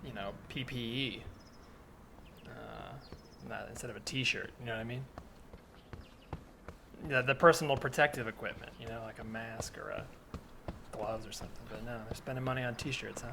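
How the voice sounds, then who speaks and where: A man's voice: mid-range voice, fairly even intonation, normal speed, vocal fry, long pauses, close to an everyday voice. A man playing a cynical, opinionated amateur historian out jogging in an improvised comedy scene, talking casually with hesitant, rambling, questioning phrasing. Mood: skeptical. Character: cynical, self-styled militia historian jogger, apologetic yet opinionated. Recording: background noise of outdoor soundscape. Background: added outdoor ambience soundscape.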